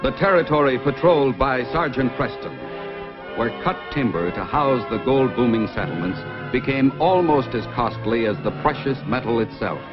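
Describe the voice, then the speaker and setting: A man's voice: medium pitch, expressive, moderate pace. A man giving scripted narration as the storyteller of an old-time radio adventure. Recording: dull lo-fi old radio, music behind.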